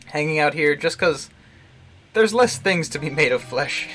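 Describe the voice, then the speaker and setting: A man's voice: on the high side, animated, moderate pace, a bit bright. A man livestreaming casual commentary on a visual novel, thinking aloud in a rambling, jokey way. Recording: music behind the voice. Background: game music.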